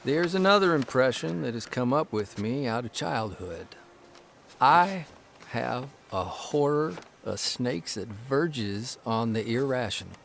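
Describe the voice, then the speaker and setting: A man playing an earnest, literate grown farm son, reading a measured, reflective memoir monologue in an audio drama. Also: medium pitch, animated, creaky, a bit bright, slight background noise. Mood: uneasy, confiding. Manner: measured, reflective, precise wording.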